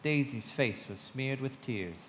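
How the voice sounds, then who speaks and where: A man playing a frank young storyteller in a live radio play, heard in a long, noisy hall reverb. His voice sits a little high and moves in lively swings as he delivers literary narration and dialogue. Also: a little slow. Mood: reflective, descriptive. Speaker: narrator and actor. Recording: long hall reverb, clear background noise.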